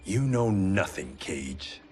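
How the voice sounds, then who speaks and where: A man's voice: deep voice, sing-song, takes it slowly, a little mellow, full character voice. An actor playing a proud, grim swordsman from a fallen clan in a video game, stern, terse and solemn. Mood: resolute. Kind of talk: video game cutscene acting.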